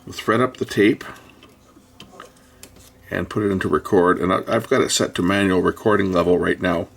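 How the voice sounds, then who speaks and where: A man's voice: deep voice, fairly even intonation, normal speed, creaky. A middle-aged man giving casual, hands-on commentary while demonstrating electronics at an indoor workbench. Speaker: presenter of an electronics repair video. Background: music from tape and player.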